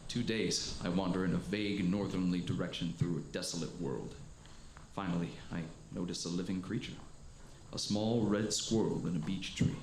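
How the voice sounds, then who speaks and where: Man acting a low, solemn haunted professor in echo. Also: steady tone. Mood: searching, wondering. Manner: grave, deliberate, literary storytelling. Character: solemn, haunted professor, lone survivor of alien invasion. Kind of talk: 1930s radio drama narration, monologue. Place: live performance before an audience in a hall. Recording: echoey live stage, faint noise.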